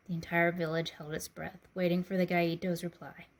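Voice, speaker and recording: Low-pitched woman reading a story. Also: flat delivery, brisk. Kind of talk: expressive children's story reading. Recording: faint background noise.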